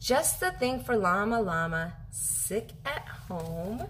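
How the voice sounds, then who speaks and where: Animated woman reading a picture book aloud. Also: medium pitch, slight background noise. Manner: animated, drawn-out phrasing with questions to young listeners. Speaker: teacher reading aloud to children. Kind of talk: expressive children's picture book read-aloud. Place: home kitchen, indoors.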